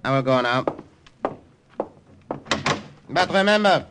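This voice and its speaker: A cold German commandant. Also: male voice, mid-range voice, animated, slow, noisy background. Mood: menacing. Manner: clipped, menacing. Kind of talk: 1940s radio acting.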